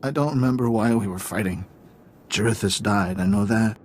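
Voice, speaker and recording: A man playing a drugged, confused captive in a video game, halting and stammering in a helpless daze. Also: fairly low pitch, a little mellow, a little hiss or hum, lightly acted. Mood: dreamy, rambling.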